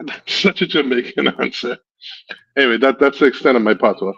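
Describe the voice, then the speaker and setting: A man with a Guyanese accent talks casually on a livestream, wandering through stories and breaking into laughter. His voice sits at a middle pitch, and the audio is dull and lo-fi. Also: brisk, gravelly, fairly bright tone, slightly rough. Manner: laughs often, rambling storytelling. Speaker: streamer. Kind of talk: casual chat.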